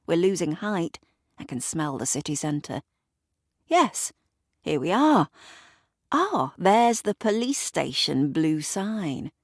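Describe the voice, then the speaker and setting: A woman narrates an audio drama in a low voice, taking her time over each phrase. The sound is dry and close. She tells it as if confiding in the listener. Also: dry recording. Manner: conversational asides to the listener, acting out characters. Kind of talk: dramatic present-tense storytelling.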